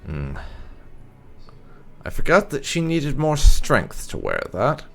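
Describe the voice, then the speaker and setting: A man doing casual playthrough commentary as a gaming host, musing aloud in an unhurried way as he plays. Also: medium pitch, wildly swooping pitch, vocal fry, a bit bright.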